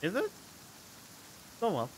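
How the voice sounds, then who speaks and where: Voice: medium pitch, wildly swooping pitch, slow pace, slight background noise. A game streamer giving casual commentary while playing, speaking into a studio microphone. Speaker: streamer, let's player. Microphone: studio condenser microphone.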